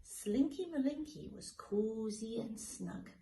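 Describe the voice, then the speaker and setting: A woman teacher reading a children's picture book aloud in a slow, lilting, expressive way. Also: on the high side. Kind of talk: storybook read-aloud for children.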